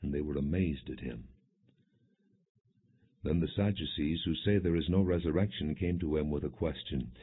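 A man narrating scripture as an audiobook in a solemn, measured, expressive storytelling manner. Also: extremely low pitch, husky. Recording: muffled phone-like lo-fi audio.

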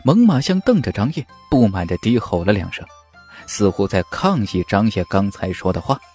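A man narrating a serialized fantasy audiobook in an expressive storytelling style. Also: on the low side, normal speed, faint music behind.

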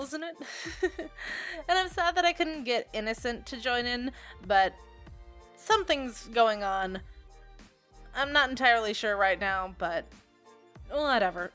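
A woman's voice: a little high, a bit bright. A young woman rambling casually to viewers in an informal, self-deprecating, giggly manner, as a video creator. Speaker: video creator reviewing software. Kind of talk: casual rambling chat to viewers over a screen recording.

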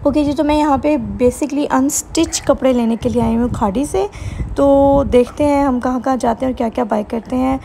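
A woman's voice: sits high, steady tone, normal speed, vocal fry, mellow. A young woman with a Pakistani accent chattily narrating a vlog at a buffet and a mall, recorded on a handheld phone. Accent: Pakistani Urdu. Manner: chatty, enthusiastic, mixes English phrases into Urdu. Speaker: vlogger. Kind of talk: casual vlog narration, Urdu mixed with English. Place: restaurant buffet and shopping mall. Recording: noisy phone video with background chatter.

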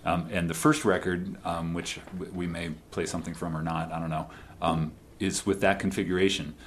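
A man's voice: low-pitched, little pitch movement, moderate pace, a little hiss or hum. A man talking casually as a band musician being interviewed live in a radio studio.

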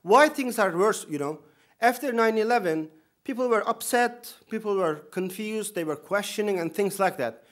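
A man's voice: high-pitched, expressive, moderate pace. Turkish-accented man speaking at a podium. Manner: earnest, emphatic, with dry humor. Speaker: imam giving opening remarks. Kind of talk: conference speech. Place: lecture hall. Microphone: podium microphone.

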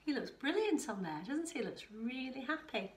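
A woman's voice: sits high, big pitch swings, moderate pace, a little hiss or hum. A middle-aged woman reading a picture book aloud to young children, with rhythmic delivery and chatty asides. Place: living room at home. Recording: roomy, echoing home living room.

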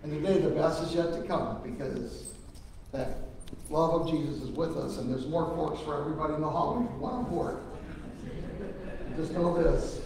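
A man's voice: on the high side, steady tone, normal speed, a little mellow, a little hiss or hum. Middle-aged male pastor, earnest folksy funeral preacher, roomy church sound from far off. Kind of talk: funeral sermon. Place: large church sanctuary. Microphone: lectern microphone from a far camera.

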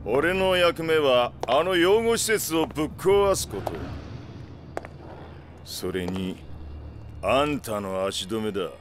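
A greedy mocking gang boss, drags words out, pitch all over, cruel, game cutscene with faint music. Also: male voice, sing-song, slow pace, long pauses. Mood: menacing, gloating. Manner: mocking, drawling, theatrical. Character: gloating sadistic gangster boss, greedy and taunting. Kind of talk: dramatic video game villain acting.

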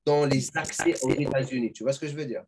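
Brief: middle-aged man, husky voice, advising host on a webcam call from home. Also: medium pitch, fast, a little mellow. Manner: advising, asks "tu vois ce que je veux dire?" often. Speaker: podcast host. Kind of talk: casual video-call interview. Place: home room with gaming chair. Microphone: webcam on a video call.

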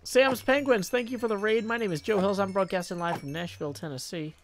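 A man's voice: high-pitched, fairly quick, faint music behind. A man streaming live gameplay with a headset mic, chatting, reading viewer comments aloud and firmly shutting down rude ones.

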